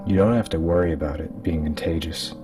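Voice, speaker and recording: A man's voice: rumbling low, fairly quick, distant mic, music in the background. A man voicing a curt, matter-of-fact government disease agent in a horror story reading. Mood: clinical, grave. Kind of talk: acted dialogue in a horror story.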